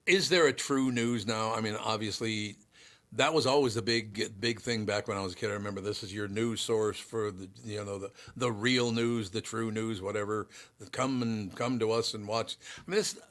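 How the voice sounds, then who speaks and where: A gruff, low-pitched older man from Minneapolis hosts a casual talk show. His voice is rough yet bright and forceful, and he rambles through stories with plenty of restarts. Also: steady tone, normal speed. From Minneapolis, USA. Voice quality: gruff, somewhat harsh voice. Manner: rambling, storytelling, frequent restarts. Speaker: show host. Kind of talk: casual chat.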